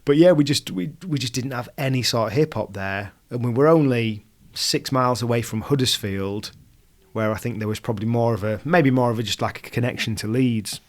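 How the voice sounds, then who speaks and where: A man's voice: mid-range voice, a little fast. A man with a Yorkshire accent, hosting a casual interview in a conversational way. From West Yorkshire, England. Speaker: podcast host.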